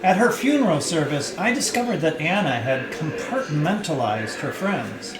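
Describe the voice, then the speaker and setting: A man's voice: a little high, animated, normal speed, crowd noise, close to an everyday voice. A man reading the part of an earnest, probing friend in a novel, with a questioning manner. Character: earnest, probing, mildly annoyed male friend. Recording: echoey roomy live reading.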